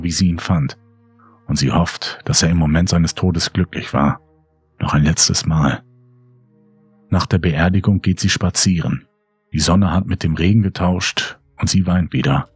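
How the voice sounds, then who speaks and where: A man reading a horror story as scripted dramatic audiobook narration, grave, measured and brooding. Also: low voice, husky, no echo. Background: faint music.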